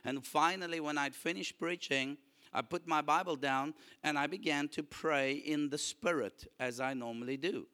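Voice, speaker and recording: A man whose first language is Afrikaans, preaching a lively sermon and calling out to his congregation for responses. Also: fairly high pitch, moderate pace. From South Africa. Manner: animated, calls for audience response.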